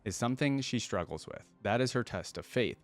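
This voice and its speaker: A man's voice: medium pitch, lively intonation, a little fast, faint music behind. A man hosting a YouTube reaction, reading aloud and adding informal, conversational asides in a casual style.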